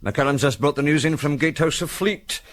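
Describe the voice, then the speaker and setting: An actor playing a gossipy village publican with a Scottish accent and homely, matter-of-fact dialect, in a radio drama. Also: male voice, fairly high pitch, brisk, close to an everyday voice.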